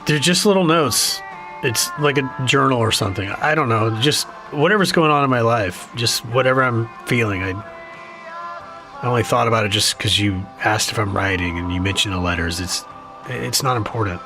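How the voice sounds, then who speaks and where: An actor playing a jaded, guarded, grieving younger brother in an audio drama, speaking haltingly and self-deprecatingly. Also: male voice, mid-range voice, faint music behind, lightly acted. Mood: evasive, uneasy. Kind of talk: naturalistic audio drama dialogue.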